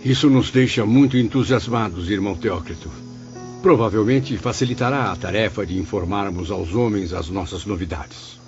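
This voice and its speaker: A man's voice: lowish voice, normal speed, music in the background, close to an everyday voice. A man playing a courteous, earnest and admiring pupil in an audiobook drama. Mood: enthusiastic. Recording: dull lo-fi audio.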